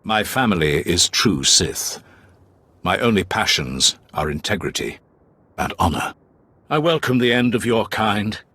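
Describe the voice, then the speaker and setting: An honor-bound Sith lord in a video game. His voice is low and forceful, with dramatic swells in pitch. He speaks formally and with measured menace. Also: big pitch swings, slight background noise, heavily acted. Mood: solemn, resolute.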